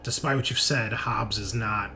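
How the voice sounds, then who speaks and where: Low-voiced male game master, suspenseful phrasing. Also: steady tone, normal speed, bright, forceful tone, slight background noise. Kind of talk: improvised narration of a tabletop horror game.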